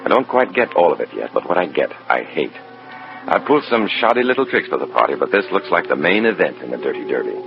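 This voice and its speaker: An actor playing a wry, bitter undercover FBI informant, sardonic and hard-boiled, in an old radio drama. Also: male voice, rumbling low, very animated, fast talker, vocal fry, soft background music, exaggerated voice. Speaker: narrator and protagonist. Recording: dull, muffled old radio recording.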